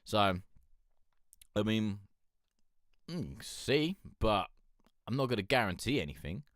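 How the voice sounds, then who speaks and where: A man with a deep voice hosting a music review show, talking frankly and loosely, swearing and rambling with lots of fillers. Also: very animated, slow pace, fairly bright tone, no room sound, clean studio sound. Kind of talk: casual chat reviewing submitted songs.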